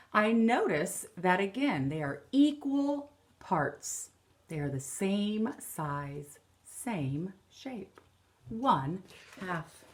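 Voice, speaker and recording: A woman in her thirties, an elementary teacher, reading a picture book aloud as a lesson for children, explaining deliberately and repeating key phrases. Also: mid-range voice. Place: living or dining room at home, indoors.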